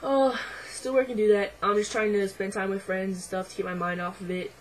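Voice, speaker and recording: A young man speaks into his camera at home, voice in the middle of deepening and not quite settled, pitched in the middle and fairly flat. He is hesitant and reflective, filling pauses with ums and likes. Faint noise hums behind him. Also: low-quality recording. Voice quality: voice midway through deepening, a bit unsettled. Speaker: vlogger. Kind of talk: casual personal video diary.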